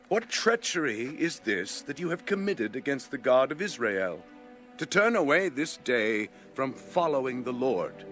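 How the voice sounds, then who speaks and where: A stern priestly envoy. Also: male voice, medium pitch, a bit bright. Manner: grave, accusing, declamatory. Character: stern priestly envoy accusing rebel tribes. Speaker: voice actor in a dramatized audio Bible. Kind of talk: dramatized scripture reading.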